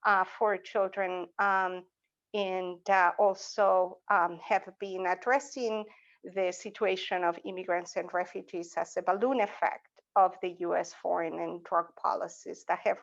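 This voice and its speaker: A middle-aged woman with a Salvadoran Spanish accent, introducing her academic work as a panelist in deliberate, halting phrases. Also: lowish voice, vocal fry, lo-fi sound. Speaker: panelist, associate professor. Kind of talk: semi-formal panel self-introduction.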